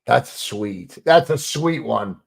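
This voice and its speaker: A man co-hosting a casual live stream, teasing the viewers in quick banter. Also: medium pitch, lively intonation, vocal fry, crowd noise. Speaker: co-host.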